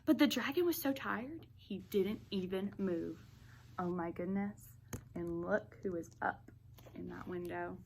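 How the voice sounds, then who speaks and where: A woman's voice: lowish voice, a little slow, gravelly, slight background noise. A woman hosting a children's read-aloud, reading expressively to young children and adding chatty asides. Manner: addresses young children, animated.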